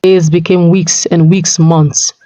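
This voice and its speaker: A woman's voice: lowish voice, level tone, takes it slowly, dark tone. A woman storyteller reading a scripted folktale narration. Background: music.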